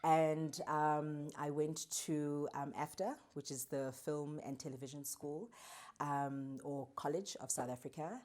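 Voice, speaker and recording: A woman in her thirties, an actress guest, talking thoughtfully with pauses in a casual interview. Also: low voice, fairly even intonation, a little mellow. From born in Zambia, raised in Australia and Johannesburg. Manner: thoughtful, measured, pauses with fillers.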